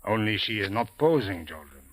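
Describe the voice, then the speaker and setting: An actor playing a suave, skeptical Cairo police captain in a 1940s radio drama, formal, measured and dryly sardonic. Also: male voice, fairly low pitch, animated, fairly bright tone. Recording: noisy old radio recording with clicks.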